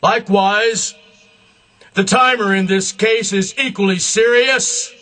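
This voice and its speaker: A man delivering a solemn, scripted religious address in a grandiose, emphatic manner, in deliberate short phrases. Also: sits high, steady tone, sharp tone. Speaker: self-proclaimed religious leader.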